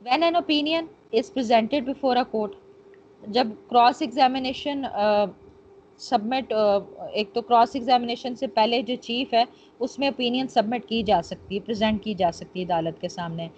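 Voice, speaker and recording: Female lecturer, Pakistani accent, unhurried delivery, reads and explains, dull lo-fi call sound. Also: on the high side, darkish tone. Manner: mixes Urdu explanation with English legal terms. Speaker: law teacher. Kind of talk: online lecture, reading notes aloud and explaining. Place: online screen-share class. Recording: muffled lo-fi online call, background noise.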